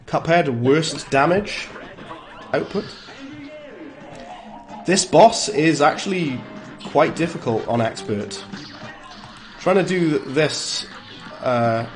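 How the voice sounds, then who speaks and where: A Welsh-accented man talks through a live game stream in a relaxed, chatty way, greeting viewers and reacting out loud as he plays. His voice sits in an ordinary middle pitch. Faint game music runs quietly behind him. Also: rough recording. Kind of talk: live gameplay commentary and chat replies.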